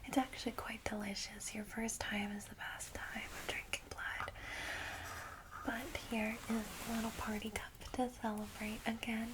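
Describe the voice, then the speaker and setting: A woman playing a gentle, welcoming vampire in a whispered ASMR roleplay, soft, breathy and reassuring as she guides a newcomer. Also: on the high side, little pitch movement, close to an everyday voice, rough recording. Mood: soothing. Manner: reassuring, unhurried, hushed.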